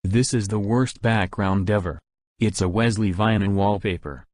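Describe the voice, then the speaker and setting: Man playing a low-voiced, grumbling computer user. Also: steady tone, moderate pace, darkish tone, no room sound, clean studio sound, lightly acted. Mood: annoyed. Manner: blunt, whiny, complaining. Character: demanding, easily annoyed male computer user.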